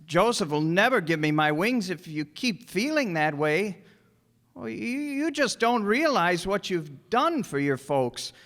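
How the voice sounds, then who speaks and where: A man playing a gentle, guileless elderly guardian angel in a radio drama, courtly and fussy in an old-fashioned way. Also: high voice, very animated, unhurried, full character voice. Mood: earnest, gentle. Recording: echoey stage hall, long reverb.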